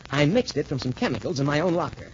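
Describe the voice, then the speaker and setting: Young American cadet hero for 1940s radio serial: clean-cut, modest, eager, animated, muffled old-broadcast sound. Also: male voice, a little high, quick pace, noisy background. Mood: earnest explaining. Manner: boyish, polite, eager. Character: earnest, modest, clever young male military cadet hero. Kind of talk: 1940s radio drama acting.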